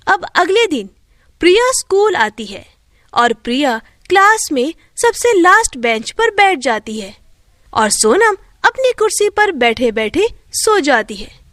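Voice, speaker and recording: Very high-pitched woman storyteller. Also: fairly bright tone, no room sound, studio quality. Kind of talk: scripted children's story narration.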